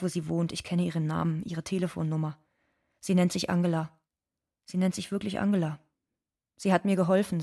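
A low-pitched actress as a bitter migrant woman from South America, living without papers. She delivers a radio drama monologue with wounded deliberateness. Her phrases return and build insistently. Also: a little mellow, close to an everyday voice.

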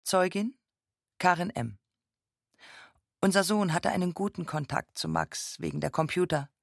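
A woman's voice: lowish voice, a little slow, creaky, dry recording, studio quality. An actress playing a mother giving plain, matter-of-fact witness testimony in a documentary radio play. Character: woman witness, mother describing her children's holiday friendships.

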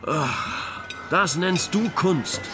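An actor playing an indignant, overworked inner dream censor in a comic radio play, sarcastic and scornful. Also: male voice, sits high, expressive, drawn-out words, music in the background, heavily acted. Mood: exasperated, scornful. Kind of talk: theatrical comic radio drama acting.

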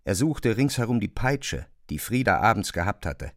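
A man giving a dramatic literary narration for an audio drama, expressive and precise in his diction. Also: fairly low pitch, moderate pace, no room sound, studio quality.

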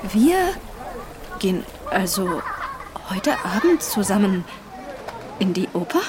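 A woman's voice: mid-range voice, big pitch swings, slow pace, crowd noise, background noise. A woman in casual conversation, speaking in short, simple phrases.

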